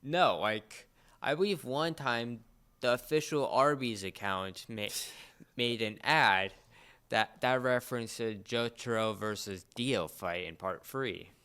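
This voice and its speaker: A young man co-hosting a casual podcast chat, speaking in a halting, rambling way and often repeating words. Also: medium pitch, bright, forceful tone, clean tone. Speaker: podcast co-host.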